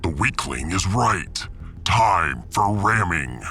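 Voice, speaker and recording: A man voicing a big, gruff, battle-hungry spaceship crewman with a blunt, matey manner in a sci-fi audiodrama. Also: low voice, lively intonation, takes it slowly, pushed and bright, soft background music, heavily acted. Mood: combative. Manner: blunt, terse, matey slang.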